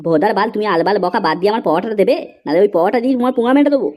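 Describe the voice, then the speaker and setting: Brash, fast-talking cartoon troublemaker, voiced by a woman. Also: mid-range voice, expressive, mellow, faint music behind, close to an everyday voice. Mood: irritated. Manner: brash, crude, scolding. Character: foul-mouthed, hot-tempered young drinker in comedy cartoon. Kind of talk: comedy cartoon dialogue.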